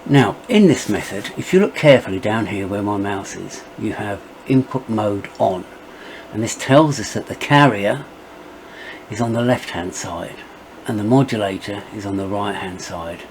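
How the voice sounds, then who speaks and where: A middle-aged man narrating a step-by-step software tutorial as its presenter. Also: fairly low pitch, normal speed, slight background noise, low-quality recording.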